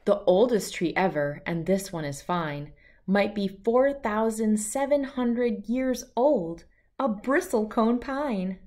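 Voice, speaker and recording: A woman's voice: medium pitch, slow pace, a little mellow. A middle-aged woman reading a rhyming children's picture book aloud in a sing-song way that follows the verse.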